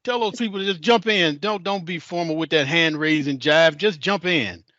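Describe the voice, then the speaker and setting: Southern us guy, folksy and jokey, bright forceful voice, muffled call audio. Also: fairly high pitch, normal speed, no echo. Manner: folksy, jokey, colorful slang. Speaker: meetup participant. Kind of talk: casual joking chat. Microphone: video call.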